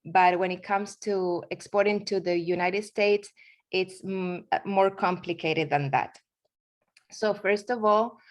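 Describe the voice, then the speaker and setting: A woman in her forties from Argentina, speaking English with an Argentine accent, giving a presentation with frequent fillers and restarts. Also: medium pitch. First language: Spanish. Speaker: guest speaker. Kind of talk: webinar presentation.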